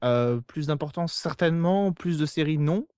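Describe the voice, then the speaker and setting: Man, fairly high voice, podcast host, talkative, explanatory, hesitant repetitions, very dry recording. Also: normal speed, darkish tone, clean tone, dry recording. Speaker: podcast host of a TV series show. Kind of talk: casual podcast conversation. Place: remote internet call recording. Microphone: podcast microphone.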